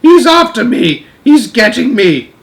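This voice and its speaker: Panicked giant, screaming high. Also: male voice, very animated, a little slow, heavily acted. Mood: terrified screaming. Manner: screaming, bellowing, pleading in garbled grammar. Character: huge brutish man-eating giant in a nightmare, panicked.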